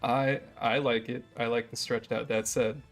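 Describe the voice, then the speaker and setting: A man's voice: mid-range voice, flat delivery, moderate pace. A man from Minnesota with a Minnesotan accent, joking around in casual voice chat with his raid group. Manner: joking, easygoing. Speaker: raid member. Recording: game music faint behind voice chat.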